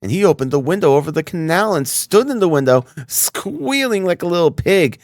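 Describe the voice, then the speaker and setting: A man's voice: sits high, lively intonation, fairly quick, no echo, pitched above the performer's own voice. A man voicing a fawning, indignant landlady with a German accent who patters on. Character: fawning middle-aged German landlady, chattering.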